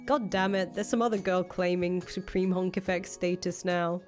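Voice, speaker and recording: A woman voicing a jaded, blunt young fugitive in a visual novel, sardonic and quick to swear. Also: on the low side, fairly even intonation, close to an everyday voice. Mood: exasperated, incredulous. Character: jaded, foul-mouthed young woman, fugitive ex-cult leader. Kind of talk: voiced reading of visual novel dialogue. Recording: music playing under the voice.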